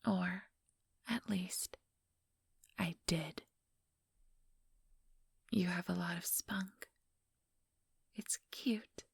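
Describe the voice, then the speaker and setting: A woman playing an ambitious vampire elder in a whispered ASMR roleplay, breathy, deliberate and patronizing, with cool menace. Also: fairly low pitch, steady tone, no echo, clean studio sound, full character voice. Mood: condescending fondness.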